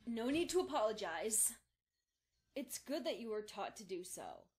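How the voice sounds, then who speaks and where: A woman's voice: on the high side, sing-song, measured pace, darkish tone. A woman playing a kind, patient young unicorn mentor in a fan fiction audio drama, reassuring and instructive. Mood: gentle, reassuring.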